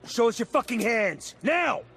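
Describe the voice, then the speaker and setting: An actor playing a tough, alert special forces squad leader in a video game, terse, urgent and commanding. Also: male voice, very high voice, sing-song, measured pace, fairly bright tone, exaggerated voice. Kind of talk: cinematic video game acting.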